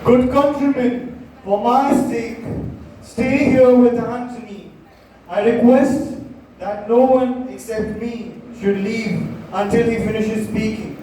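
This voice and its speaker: An earnest Roman noble, acted by a young man on a school stage. He speaks slowly and formally in a mellow voice. The sound is distant, washed in heavy room echo. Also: extremely high pitch, fairly even intonation, a little hiss or hum. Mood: appealing. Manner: measured, formal. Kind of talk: school stage play acting. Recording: distant mic in echoey hall.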